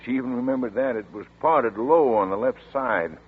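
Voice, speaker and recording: An actor in a 1940s radio drama playing an efficient young sheriff who briefs in a brisk, matter-of-fact way, with a husky voice. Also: male voice, medium pitch, natural voice. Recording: tinny old radio broadcast.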